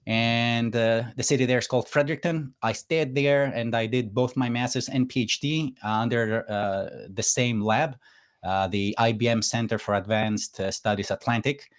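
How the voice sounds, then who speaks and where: A man from Greece talks as a podcast guest, explaining things at length. He hesitates often with fillers, in an ordinary mid-pitched voice. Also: fairly even intonation, moderate pace. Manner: hesitant, with frequent "uh" fillers and soft laughs. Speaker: guest engineer. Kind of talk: casual interview chat.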